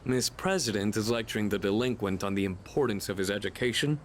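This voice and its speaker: An actor playing a cocky, athletic high school boy in a video game, casual and teasing. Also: quick pace, a little hiss or hum, natural voice.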